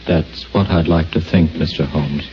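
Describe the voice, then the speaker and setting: A subdued, grave brother. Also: male voice, very deep voice, fairly even intonation, moderate pace, faint music behind. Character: weary, sober brother of the household. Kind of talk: 1940s radio acting. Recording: muffled old radio broadcast.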